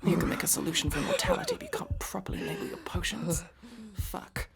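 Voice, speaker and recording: A woman playing a prickly but protective masked elf in a fantasy audio roleplay, sharp-tongued and intense. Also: on the low side, huge pitch swings, fairly quick, creaky, a loud crowd, heavily acted. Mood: panicked. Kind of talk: dramatic ASMR fantasy roleplay acting.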